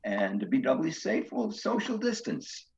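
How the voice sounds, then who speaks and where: A man's voice: on the high side, huge pitch swings, moderate pace, gravelly, full character voice. An actor playing a courteous, precise widowed grandfather courting on a video date in a stage comedy, earnest and a little formal, with dull, muffled sound.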